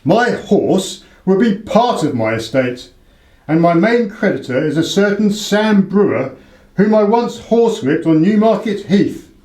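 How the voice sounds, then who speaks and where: A man's voice: sits high, big pitch swings, measured pace, a little mellow, roomy sound. A man acting in a radio play as a proud, desperate baronet, formal, defensive and imperious. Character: proud, desperate English baronet, indebted horse owner.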